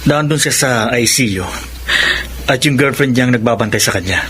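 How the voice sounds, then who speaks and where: An actor playing a grave middle-aged Filipino doctor in a radio drama, speaking in a measured, serious way. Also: male voice, medium pitch, fairly bright tone, a little hiss or hum, natural voice. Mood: somber. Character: grave older doctor uncle breaking bad news.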